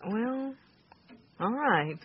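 A woman's voice: a little high, lively intonation, drawn-out words, slightly rough, exaggerated voice. An actress playing a worried, affectionate wife and mother, anxious and tender, in a radio drama. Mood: tender, relieved. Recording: dull lo-fi audio, background noise.

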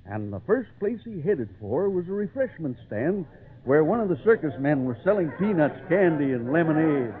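An actor playing a kindly old circus hand spinning a yarn in a folksy, unhurried radio-drama style. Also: male voice, on the high side, very animated. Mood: reminiscing. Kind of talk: 1940s-50s radio drama acting. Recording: tinny old radio recording, faint noise.

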